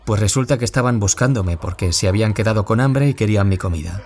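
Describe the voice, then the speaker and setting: A man's voice: on the low side, fast talker, a little mellow, natural voice. An actor playing a wounded young man from Spain who was bullied, confiding his story as an audio-drama interviewee with a Spain Spanish accent. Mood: pained. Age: young adult. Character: wounded young man, former bullying victim, anguished, confiding. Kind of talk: scripted audio-drama monologue in interview form.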